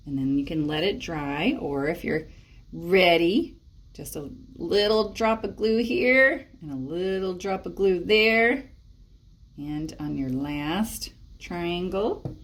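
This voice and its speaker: A woman presenting a library storytime, reading and explaining crafts expressively for young children. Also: lowish voice. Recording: echoey room, distant microphone.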